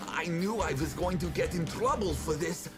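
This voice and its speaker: A man's voice: a little high, animated, moderate pace, over loud music, lightly acted. A man playing a nervous, stammering criminal in a video game cutscene. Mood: nervous, pleading. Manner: stammering, hesitant. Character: nervous, stammering male criminal caught by police.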